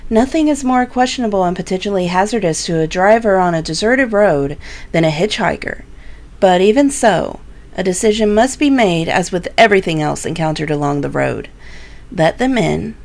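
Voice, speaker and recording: A woman's voice: mid-range voice, expressive, normal speed, gravelly, a little mellow. A woman hosting a mystery anthology with scripted, theatrical, questioning narration. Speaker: host and narrator.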